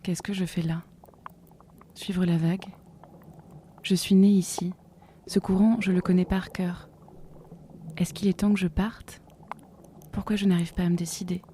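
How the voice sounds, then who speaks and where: A woman acting a hesitant, dreamy sea-dweller in an audio fiction, musing and questioning softly to herself. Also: fairly low pitch, level tone, a little slow, pauses often, a little hiss or hum, natural voice. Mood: pensive. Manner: musing, questioning, soft-spoken.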